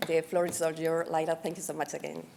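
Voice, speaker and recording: A woman's voice: sits low, flat delivery, quick pace, gravelly, heavy background noise. A woman hosting an event, giving formal remarks and introducing the speaker, with small slips. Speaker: event host.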